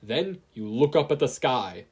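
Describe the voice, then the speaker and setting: A performer as a booming, husky hero mentor. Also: sing-song, darkish tone. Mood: instructing. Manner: emphatic, drawn-out instructions. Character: booming, earnest older male hero mentor.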